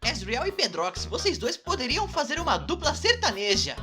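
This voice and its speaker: A cheeky, animated cartoon fan played by a woman with a fairly high voice and a Brazilian accent. The delivery swings up and down over music. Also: normal speed. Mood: curious, teasing. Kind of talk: comedic cartoon voice acting, reading fan questions. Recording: music behind the voice.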